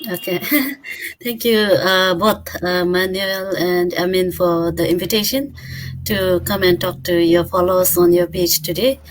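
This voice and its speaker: A woman's voice: on the low side, steady tone, a little slow, soft background music. A woman in her thirties from Bhutan, a guest on an online interview, answering chattily and correcting herself often. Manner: chatty, self-correcting, restarts.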